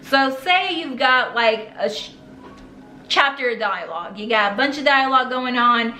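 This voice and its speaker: A woman's voice: a little high, lively intonation, normal speed, bright, forceful tone, soft background music. A young adult woman giving writing tips in a casual, rambling way, full of slang. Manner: chatty, rambling, uses slang. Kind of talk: casual talk to camera. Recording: echoey room, faint noise.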